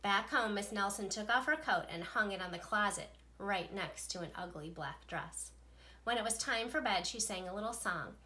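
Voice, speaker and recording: A woman, a teacher, reading a children's picture book aloud with playful, expressive delivery. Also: medium pitch, moderate pace. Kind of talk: storybook read-aloud.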